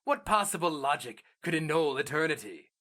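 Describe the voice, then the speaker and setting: A man's voice: a little high, sing-song, normal speed, pushed and bright, voice pushed up, exaggerated voice. A man playing a proud male mage in a fantasy story, arguing urgently with emphatic, rhetorical delivery. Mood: impassioned, persuasive.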